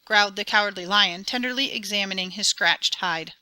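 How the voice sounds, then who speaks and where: A woman reading a storybook aloud in an expressive, dramatic way, close to the mic. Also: mid-range voice, brisk, no room sound. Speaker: audiobook narrator.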